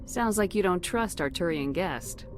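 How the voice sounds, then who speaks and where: An actress playing a composed, firm female military commander in a video game, speaking with steady, probing authority. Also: fairly low pitch, measured pace, slight background noise.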